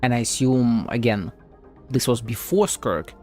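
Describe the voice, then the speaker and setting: A man gives off-the-cuff commentary as a game streamer. His voice sits at an average pitch. He thinks out loud with plenty of slang and swearing, with soft music underneath. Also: a little slow. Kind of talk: casual live reaction commentary.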